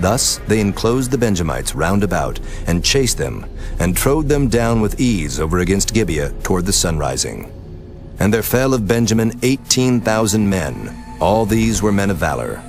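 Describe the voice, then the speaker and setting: A man narrating a dramatized scripture reading in a solemn, measured way, with music behind. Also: fairly low pitch, a little mellow. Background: background music.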